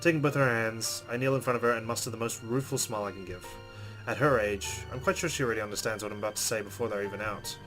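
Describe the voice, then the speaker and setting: A man's voice: lowish voice, quick pace, music in the background, poor audio. A man hosting a let's play, reading visual novel narration aloud with casual asides.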